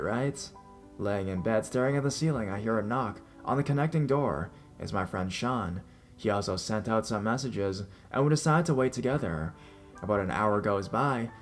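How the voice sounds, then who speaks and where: Male narrator, low pitch, scripted first-person horror storytelling, music playing behind the voice. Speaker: narrator reading listener-submitted scary stories. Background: background music.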